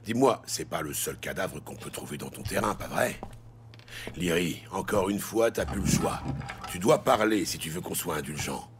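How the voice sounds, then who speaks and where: An actor playing a hard-boiled New York police detective in a noir audio drama, terse, cynical and streetwise, with a gravelly voice. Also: male voice, low-pitched, lively intonation, moderate pace, noisy background. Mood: measured pressure. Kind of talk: noir audio drama acting and first-person narration.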